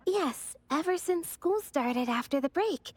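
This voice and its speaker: A woman playing a sweet, helpful young florist in an anime game, with a gentle shopkeeper's manner. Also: sits high, expressive, a little slow.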